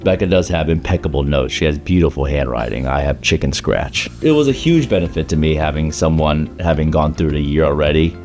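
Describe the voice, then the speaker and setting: A man in his thirties or early forties from South Carolina, born in China, reminiscing in a conversational interview with halting, thoughtful phrasing. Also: extremely low pitch, expressive, a little mellow, soft background music. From grew up in South Carolina, born in China. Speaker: law school alumnus, interviewee.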